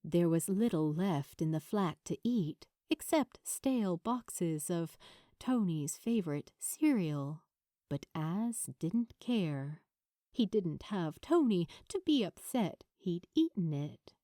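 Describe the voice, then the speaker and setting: A woman reading a story aloud as an expressive audiobook narrator, with dramatic, weighted pauses. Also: on the low side, no room sound, clean studio sound. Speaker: fan fiction narrator.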